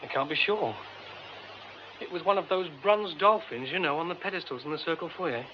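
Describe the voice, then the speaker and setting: A man's voice: fairly high pitch, fairly quick, natural voice. A male actor playing an anxious, well-spoken, polite theatre man in a radio drama, urgent and agitated. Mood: tense, shaken. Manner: urgent, agitated, hesitant when shocked. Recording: dull radio recording with clear noise.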